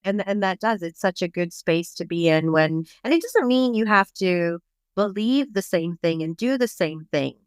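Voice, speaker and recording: A middle-aged woman from Bangladesh, a parenting coach, speaking as a podcast guest in a reflective, measured way. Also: mid-range voice, lively intonation, smooth, no room sound, clean studio sound. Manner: reflective, measured, thoughtful explaining. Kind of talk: podcast interview.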